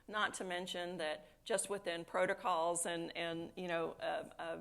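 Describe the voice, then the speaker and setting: A woman with a fairly low voice answering as an executive on a conference panel. She works through her points aloud, repeating words and filling gaps with "you know". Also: level tone, unhurried, gravelly, a bit bright. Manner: thoughtful, with many restarts and "you know". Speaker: chief operating officer on a panel. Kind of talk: panel discussion. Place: conference stage.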